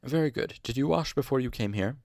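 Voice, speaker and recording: A man's voice: medium pitch, brisk, vocal fry, a little mellow, no room sound, pitched above the performer's own voice, close to an everyday voice. A man voicing a wry, stern older male master physician who teases his students. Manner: dry, teasing, stern.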